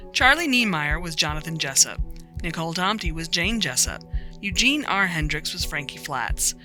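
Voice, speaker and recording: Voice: medium pitch, pushed and bright. An announcer reading the scripted closing credits of an audio drama. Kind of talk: scripted narration. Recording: music under the voice. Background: music.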